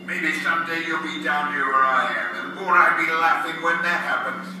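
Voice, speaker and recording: A gloating, folksy ghost of a condemned man played by a male actor, taunting in a very piercing, forceful voice. It is fairly high and echoes from far off, in radio thriller fashion. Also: normal speed. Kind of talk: old-time radio thriller acting. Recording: echoey, distant, faint music behind.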